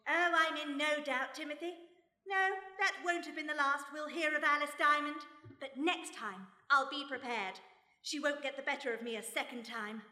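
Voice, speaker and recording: A woman's voice: high-pitched, moderate pace, bright, forceful tone. A woman acting a plucky, wry lady detective in a radio play, with a breezy, coolly polite manner. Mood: reflective, assured. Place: stage before a live audience. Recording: echoey live stage recording.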